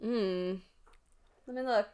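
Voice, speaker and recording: Young female podcast co-host, mid-pitched, informal, thinking aloud in loose conversational chat. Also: takes it slowly, darkish tone, smooth. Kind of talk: casual chat.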